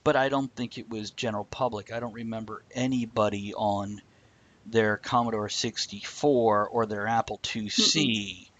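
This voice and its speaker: Man, low-pitched voice, casual talk show host, live stream chat. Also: steady tone, moderate pace, fairly bright tone.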